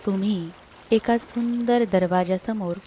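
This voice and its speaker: Slow, soothing woman guiding sleep meditation. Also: medium pitch, dark tone, a little hiss or hum. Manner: soothing, unhurried, with long pauses between short phrases. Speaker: guided meditation narrator. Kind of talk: scripted guided sleep meditation.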